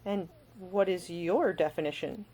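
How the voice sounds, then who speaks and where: A woman voicing a prim, talkative young lady in her twenties in an audiobook, formal, brisk and precise. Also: lowish voice, warm tone. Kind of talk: audiobook character dialogue.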